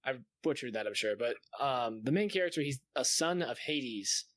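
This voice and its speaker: A man's voice: mid-range voice, a bit bright, no room sound, low-quality recording. Casual, enthusiastic man on a gaming podcast. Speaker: podcast co-host.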